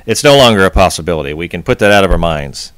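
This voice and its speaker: Guy venting on his sports podcast, exasperated, lowish voice, dead dry room. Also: animated, quick pace, fairly bright tone, clear, resonant tone, dry recording. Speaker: podcast host. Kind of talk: post-game sports rant.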